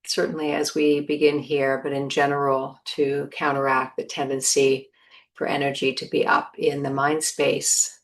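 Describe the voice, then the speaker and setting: A woman leading a guided meditation in a measured manner, pausing between short phrases. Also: rumbling low, little pitch movement, poor audio.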